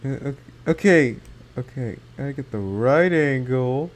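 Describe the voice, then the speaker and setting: A man giving casual, jokey commentary over a game, easily excited. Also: medium pitch, unhurried. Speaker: gaming content creator.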